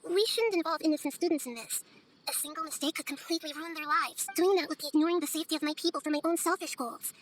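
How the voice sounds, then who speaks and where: A woman voicing a wise, gentle little-girl god in a video game, speaking in a measured, thoughtful, articulate way. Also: sits high, a little hiss or hum, close to an everyday voice. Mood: serious, caring. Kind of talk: scripted video game voice acting.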